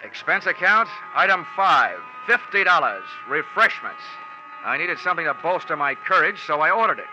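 An American actor playing a tough, wisecracking insurance investigator in a 1940s radio detective drama, with sardonic, clipped delivery. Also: male voice, a little high, big pitch swings, normal speed. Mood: wry narrating. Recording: dull vintage 1940s radio recording, faint music.

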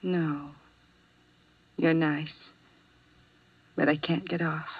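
An American actress playing a lovelorn, resigned young nurse in a 1950s radio drama, speaking in a hushed, halting, wistful way. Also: female voice, sits low, level tone, slightly rough, heavily acted. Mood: dazed, resigned. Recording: muffled vintage 1950s radio recording.

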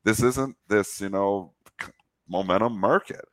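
A deep-voiced man from Canada, nasal and stuffed up from a cold, holding forth with firm opinions on markets. The sound is dry and close. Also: measured pace, dry recording. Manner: opinionated, talks at length. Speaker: trading show co-host. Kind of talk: casual market commentary.

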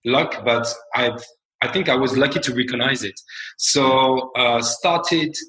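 A man in his forties from France with a French accent, talking as a founder guest in an interview in a measured, reflective way. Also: mid-range voice, pushed and bright, echoey room, low-quality recording. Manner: measured, reflective, many fillers and restarts. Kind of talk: interview conversation.

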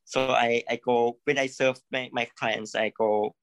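A man from Thailand, a creative consultant, speaking in a halting way, repeating words and saying "you know" often. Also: mid-range voice, flat delivery, a bit bright, no room sound. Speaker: coaching client, creative consultant. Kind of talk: live coaching session.

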